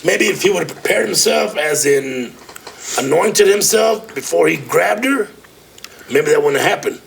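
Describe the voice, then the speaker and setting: A man's voice: on the high side, expressive, normal speed, vocal fry. A middle-aged man co-hosting a casual podcast, rambling and repeating himself, often saying "dog". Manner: rambling, repeats words, says "dog" a lot. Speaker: podcast co-host, the uncle. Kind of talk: casual chat. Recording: noisy home recording.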